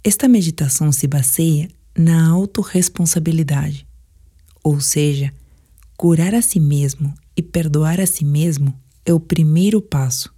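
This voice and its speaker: Woman, Brazilian accent, low-pitched, warm dark tone, calm measured sleep-meditation narration, softly breathy endings. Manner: calm, soothing, measured delivery. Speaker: guided meditation instructor.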